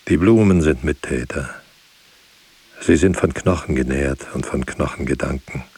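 An actor playing a grave, brooding writer, speaking solemnly in halting, deliberate phrases in a poetic radio play. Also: male voice, extremely low pitch, a little mellow, slightly rough, dry recording, full character voice. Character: grave, brooding writer musing on words and silence. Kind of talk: poetic radio drama monologue.

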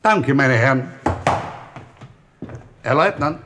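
Curt colonel over noise. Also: male voice, medium pitch, expressive, slow pace. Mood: commanding. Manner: curt, commanding. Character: curt, authoritative army colonel. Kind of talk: radio play dialogue. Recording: loud noise behind the voice.

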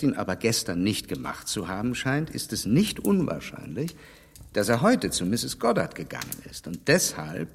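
An actor playing an outspoken gentleman in a radio play, talking frankly and with reproach. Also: medium pitch, long reverb tail, a little hiss or hum. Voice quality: frank, lively, reproving manner. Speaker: Mister Knightley.

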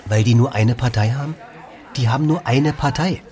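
A man's voice: medium pitch, normal speed, warm tone, lightly acted. An actor playing a pragmatic, dismissive German expatriate man, casually contradicting in a conversational radio drama. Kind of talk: conversational documentary drama, debating.

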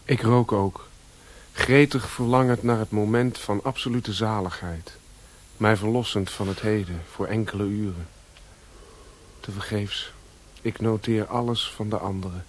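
An actor playing a restless adventurer in a radio play, earnest and direct. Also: male voice, on the low side, fairly even intonation, a little slow. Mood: yearning, resigned. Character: restless adventurer eager for a dangerous job. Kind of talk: radio drama acting.

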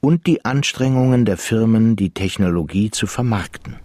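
A male narrator with a husky, somewhat low voice who tells the story at a measured pace. He is recorded close and dry, with no room sound at all. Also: expressive, darkish tone, dry recording. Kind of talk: scripted narration.

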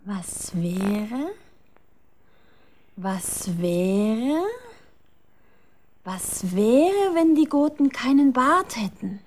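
Daring village conspirator, high lively voice, slow dramatic phrasing, children's radio drama. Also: female voice, full character voice. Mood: musing, daring. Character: plucky, scheming village woman. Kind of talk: children's radio play acting.

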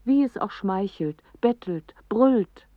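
An actress playing a reflective woman who narrates a poetic radio drama in a slow, measured storytelling manner. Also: medium pitch, expressive, no echo. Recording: dry, slightly dull radio recording.

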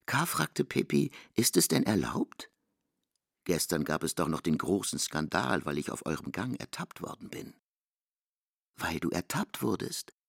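A man's voice: sits low, sing-song, measured pace, creaky, slightly rough, no room sound, studio quality. A man performing in a literary radio play, reading as narrator and voicing dialogue.

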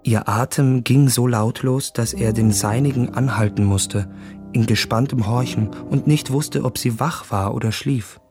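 A man's voice: medium pitch, steady tone, unhurried, lightly acted. An actor playing a feverish, yearning young man in introspective monologue in a German literary radio drama. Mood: hushed yearning. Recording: studio voice with music behind.

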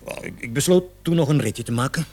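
A man's voice: medium pitch, lively intonation, quick pace, darkish tone, slightly rough. An actor in a radio play, a man playing a cocky travelling salesman in his thirties, defensive and hesitant under police questioning. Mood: hesitant, explaining. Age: 30s. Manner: hesitant, stammering, protesting with nervous chuckles.

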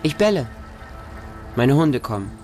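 A man's voice: mid-range voice, sing-song, unhurried, music in the background. An actor narrating a radio drama as a bleak, hardened homeless Russian street boy, in short, stark sentences. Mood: defiant. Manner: short, plain, stark present-tense sentences.

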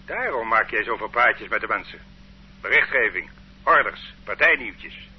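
A man's voice: fairly low pitch, expressive, moderate pace, slight background noise, lightly acted. A man playing a cold, bullying interrogator. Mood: menacing. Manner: curt, bullying, accusing. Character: stern male interrogator, cold and accusing. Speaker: interrogating official. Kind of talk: radio drama acting. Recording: dull lo-fi radio play recording.

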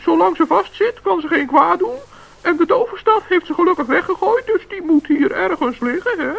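An actor playing a kind-hearted little forest gnome in a radio play, with a very high, gravelly voice. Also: male voice, expressive, normal speed, heavily acted. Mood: earnest. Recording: dull lo-fi radio play recording.

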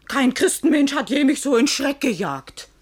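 Flustered outspoken maid in a verse radio play, lively pitch, very melodramatic. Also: female voice, normal speed. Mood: shaken, indignant. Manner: melodramatic, exclamatory. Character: flustered, frightened but outspoken maidservant.

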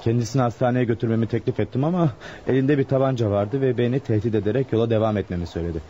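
A man's voice: mid-range voice, very fast, warm tone, close to an everyday voice. A man acting a nervous, scheming insurance clerk in a radio drama, hesitant and muttering. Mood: confessing. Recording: muffled, noisy radio drama recording.

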